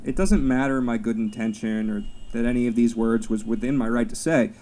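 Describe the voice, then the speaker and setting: A man reading his own personal essay at a live literary reading, in a measured, deliberate, wry storytelling way. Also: mid-range voice, warm tone.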